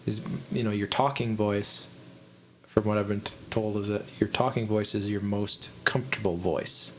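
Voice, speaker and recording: A man with a Midwestern American accent hosts a relaxed podcast chat. He talks in a low, chest-heavy register, wandering through his thoughts with frequent restarts. A faint hiss sits under the recording. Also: moderate pace. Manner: rambling, restarts, thinks aloud. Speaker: podcast host, musician. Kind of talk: casual chat.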